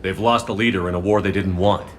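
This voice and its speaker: A man voices a principled military commander in a game. His voice is fairly low, terse and firm, with faint noise in the background. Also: fairly even intonation, fast talker, natural voice. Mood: firm, serious. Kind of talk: dramatic video game acting.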